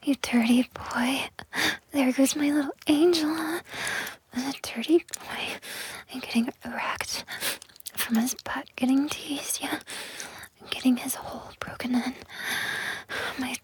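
A woman in a whispered intimate audio roleplay, talking in a breathy whisper with a slow, coaxing, possessive manner and many soft repetitions. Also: sits high, steady tone, rough recording.

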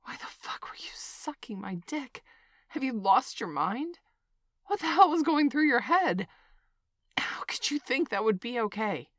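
A woman's voice: a little high, expressive, normal speed, few pauses, a bit bright, dry recording, in a whisper, clean studio sound. A woman acting a flustered parent in a scripted audio roleplay, who swings between scolding and soothing. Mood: shocked. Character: flustered protective parent, middle-aged.

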